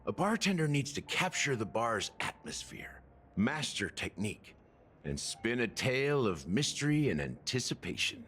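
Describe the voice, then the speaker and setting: A man playing a courteous, wry older bartender in a video game, measured and gentlemanly. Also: mid-range voice, sing-song, slight background noise. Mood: wry, instructive. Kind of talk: video game character acting.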